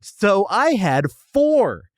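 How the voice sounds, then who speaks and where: A man's voice: sits high, sing-song, drawn-out words, no room sound, studio quality. A man co-hosting a casual comedic restaurant review, talking emphatically with slangy exaggeration. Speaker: podcast co-host reviewing restaurants.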